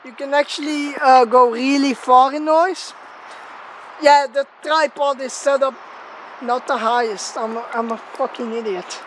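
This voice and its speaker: High-voiced woman vlogging outdoors. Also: fairly even intonation, measured pace. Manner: informal, sweary, self-deprecating. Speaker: gear tester presenting a megaphone test video. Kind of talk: casual rambling vlog chat. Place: outdoors in an open area near a road. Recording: noisy outdoor location sound.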